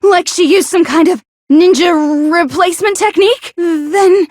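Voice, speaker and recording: A woman voicing an anxious, gloomy high school girl in an anime game dub, stammering and accusatory. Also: a little slow, darkish tone, dry recording, clean studio sound. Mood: suspicious, questioning. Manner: stammering, accusatory, easily flustered.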